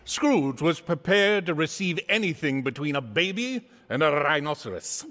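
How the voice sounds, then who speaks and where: A man delivers dramatic scripted narration for a radio drama. His voice is fairly high and moves freely up and down. A long hall reverb surrounds it. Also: big pitch swings, normal speed, fairly bright tone.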